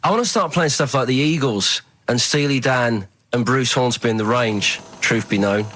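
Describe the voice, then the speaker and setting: A man's voice: mid-range voice, normal speed, fairly bright tone, slight background noise. A man who works as a radio DJ, chatting casually as a studio guest.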